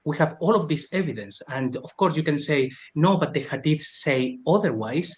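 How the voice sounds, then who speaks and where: A man's voice: a little high, animated, fast. A man making an argument in a casual panel discussion over a video call, acting out the objections he quotes.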